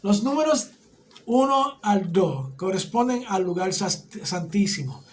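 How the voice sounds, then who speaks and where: Hombre puertorriqueño de voz aguda, pausado. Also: male voice, high-pitched, expressive. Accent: Puerto Rican Spanish. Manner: explanatory, often checks in with "¿ok?". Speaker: Bible teacher. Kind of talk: teaching a Bible class.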